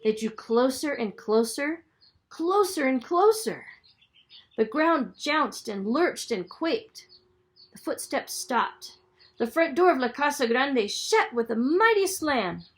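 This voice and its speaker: A woman reading a children's story aloud in a dramatic, expressive storytelling manner. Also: sits high, slow. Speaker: storybook reader, school superintendent.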